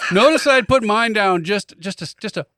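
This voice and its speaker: A man's voice: high-pitched, measured pace, bright, forceful tone, no echo, clean studio sound. A man telling a casual comic anecdote, wry and exasperated, acting out both sides of conversations. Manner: exasperated, acts out dialogue in anecdotes. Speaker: podcast co-host.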